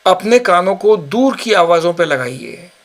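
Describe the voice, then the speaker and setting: Slow-paced man guiding meditation, higher voice. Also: animated. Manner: measured, instructional, pausing between short phrases. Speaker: yoga nidra instructor. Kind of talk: guided meditation, spoken instructions.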